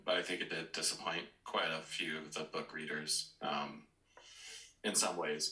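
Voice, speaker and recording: Deep, very bright-voiced man on a podcast. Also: reverberant, poor audio. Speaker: podcast panelist. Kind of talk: casual podcast chat.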